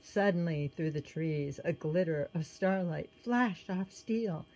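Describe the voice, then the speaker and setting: A woman with a lowish, soft voice reads a children's storybook aloud. She tells it gently and with expression, and now and then she stops to point out what is happening in the picture. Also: slow pace, poor audio. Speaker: storybook reader.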